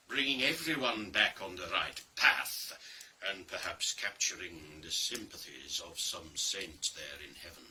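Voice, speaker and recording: A man delivers a scripted dark tale with theatrical deliberation. His voice is very bright and forceful, and he takes his time over each phrase with marked pauses. He sounds set well back from the microphone. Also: on the low side. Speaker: reader of a dramatic monologue. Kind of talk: scripted dramatic storytelling, digital play reading. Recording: distant microphone, faint background noise.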